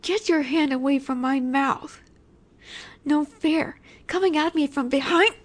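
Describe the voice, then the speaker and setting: A woman acting as a cocky female werewolf alpha in a flirty audio roleplay. Her voice is high and she takes her time over each phrase. She taunts and teases, sure that she is in charge. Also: a little slow. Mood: defiant, triumphant. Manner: teasing, taunting, confident.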